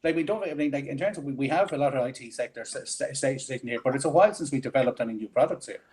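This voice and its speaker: An Irish man talking fast on a late-night panel show, often restarting his sentences. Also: medium pitch, a little mellow. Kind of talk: casual panel discussion.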